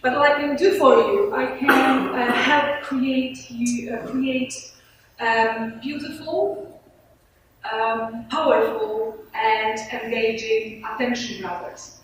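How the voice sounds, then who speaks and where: A woman's voice: a little high, fairly even intonation, slow. A woman with a Polish accent giving an informal business presentation, speaking hesitantly and often searching for words. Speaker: conference speaker on marketing. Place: indoor event room with audience. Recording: very echoey room, distant mic, clear noise.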